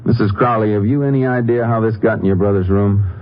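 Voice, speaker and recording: A man playing a calm, persistent Texas Ranger in a 1950s radio drama, terse and polite as he questions methodically. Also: fairly low pitch, fast, close to an everyday voice. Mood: firm, official. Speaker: actor. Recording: muffled, noisy old radio recording.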